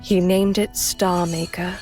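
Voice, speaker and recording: Woman acting unhurried storyteller over music. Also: on the low side, level tone, a little mellow, close to an everyday voice. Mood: reverent. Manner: measured, lyrical. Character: woman storyteller reciting a hero's legend, reverent. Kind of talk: dramatic storytelling in audio drama.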